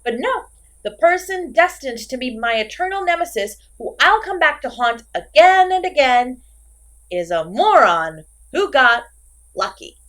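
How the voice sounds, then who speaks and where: Female comedy-horror slasher, acted by a woman: highish, wide-swinging pitch, theatrical, exasperated, flipping from sulky to manic. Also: big pitch swings, a little slow, a bit bright, full character voice. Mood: indignant. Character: failed slasher killer, woman, melodramatic, insecure, petulant, comically chatty. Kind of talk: comedic horror audio roleplay, acted monologue to listener.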